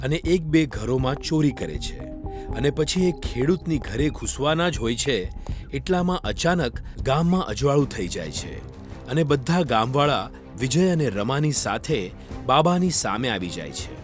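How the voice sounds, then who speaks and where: A man's voice: mid-range voice, big pitch swings, moderate pace. A man narrating a scripted moral story for children. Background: faint music.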